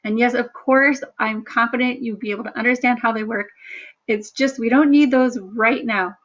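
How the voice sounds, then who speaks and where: A woman's voice: moderate pace, highs cut off. Chatty woman teaching a tutorial. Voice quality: low, somewhat monotone voice. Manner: chatty, self-deprecating, rambling asides. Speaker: workshop instructor. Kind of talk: screen-share tutorial narration.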